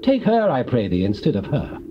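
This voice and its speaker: A man's voice: mid-range voice, huge pitch swings, brisk. An actor playing an anxious, placating father-in-law in a dramatized scripture reading. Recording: muffled, noisy old cassette transfer.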